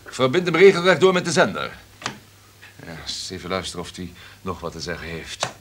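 An actor playing a domineering, calculating radio station boss in a Dutch radio drama, with a pompous, commanding manner. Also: male voice, deep voice, huge pitch swings, fairly quick, noisy background. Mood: forceful, calculating. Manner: pompous, commanding, throat-clearing.

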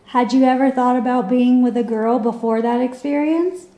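A woman's voice: high voice, steady tone, moderate pace, warm tone. A woman interviewer asking questions in a casual, conversational way. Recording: echoey room, off camera.

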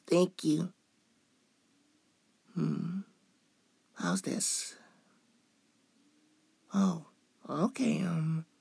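A man's voice: a little high, vocal fry, a little mellow, husky, no room sound, heavily acted. A young man playing a cute, shy, playful femboy in an ASMR roleplay, coy and hesitant, drawing out his words.

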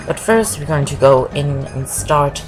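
A woman's voice: deep voice, unhurried, rough recording. A woman from Norway, not an English speaker, giving rambling casual commentary over a game walkthrough and saying "I guess" a lot. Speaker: gameplay commentator. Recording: voice over loud game music.

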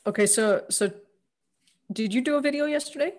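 A woman's voice: sits high, brisk, darkish tone. Middle-aged woman on a video call. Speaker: accountability partner, interviewer. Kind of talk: casual video-call chat. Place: home room. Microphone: video call, desk mic.

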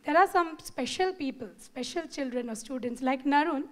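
A middle-aged woman music teacher speaking candidly about her own past in a husky voice, holding a handheld mic. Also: high voice, normal speed, fairly bright tone. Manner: candid, personal. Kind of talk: panel testimony. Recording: very echoey room with faint ambience.

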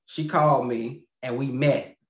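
A man's voice: mid-range voice, fairly even intonation, unhurried. A man in his thirties telling a personal story as a guest on a video call, rambling with fillers and restarts and laughing mid-sentence. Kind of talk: casual storytelling. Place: home room. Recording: roomy video call audio.

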